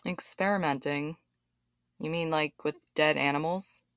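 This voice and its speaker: Woman acting as hesitant young woman, low smooth voice, very dry sound. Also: fairly even intonation, measured pace, a bit bright, dry recording.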